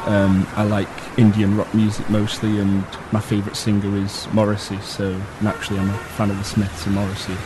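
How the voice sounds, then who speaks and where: A man from Manchester with a Mancunian accent, acting as a local guide and explaining in a relaxed way with lots of ums. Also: on the low side, fairly quick, hardly any pauses, noisy background. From Manchester, England. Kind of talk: casual explaining.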